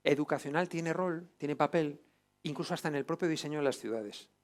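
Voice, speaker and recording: A man with a Castilian Spanish accent and a fairly high voice gives an informal lecture. He is emphatic and colloquial, often checking that his listeners agree. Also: fairly quick. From Spain. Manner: colloquial, emphatic, seeks agreement with tag questions. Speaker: lecturer, doctor. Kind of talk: informal lecture to a group of health professionals.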